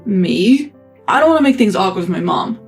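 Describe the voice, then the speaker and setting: A woman's voice: mid-range voice, animated, moderate pace, gravelly, slightly rough, soft background music. Woman doing an offhand, evasive young husband. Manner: offhand, shrugging.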